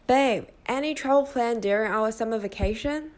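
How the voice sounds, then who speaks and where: A gentle, reassuring young boyfriend, acted by a woman with a Chinese accent in a student stage play. The voice sits fairly high for the part, and the lines come evenly and earnestly. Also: normal speed, lightly acted. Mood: caring. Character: supportive young Australian male boyfriend.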